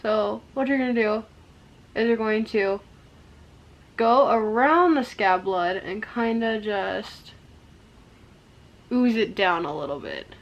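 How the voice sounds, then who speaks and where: Chatty young woman, slightly hoarse. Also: a little high, slow, pauses often, muffled recording. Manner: chatty, rambling, lots of "like" and "um". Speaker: makeup vlogger. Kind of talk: casual makeup tutorial.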